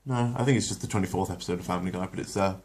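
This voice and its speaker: A man with a low voice reads a cartoon episode as a bedtime story. Between readings he rambles casually, correcting himself. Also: racing, darkish tone. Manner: rambling with fillers, self-correcting. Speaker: channel host and story reader. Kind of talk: casual intro, then storybook narration.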